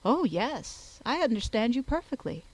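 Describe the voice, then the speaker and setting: A woman's voice: a little high, sing-song, normal speed, a little mellow, slightly rough, pitched above the performer's own voice. A woman voicing a witty, playful, self-assured young lady in a period novel audiobook. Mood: playful, confident.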